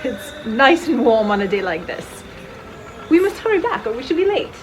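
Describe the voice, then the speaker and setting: High-voiced prim, shy woman, acted by a woman. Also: very animated, moderate pace, slight background noise, poor audio. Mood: mildly reproving. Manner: polite, restrained, proper. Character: Ianthe, prim, shy, proper unmarried librarian woman. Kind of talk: dramatized novel reading.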